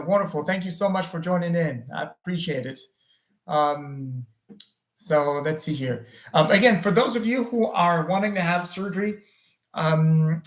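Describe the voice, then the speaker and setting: A man whose first language is French, a surgeon hosting a casual livestream Q&A, talking loosely with many ums and restarts. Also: a little high. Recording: roomy echo.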